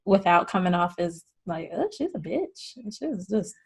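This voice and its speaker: A woman hosting a podcast in casual chat, relaxed and rambling. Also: fairly low pitch, normal speed, breathy edge. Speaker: podcast host.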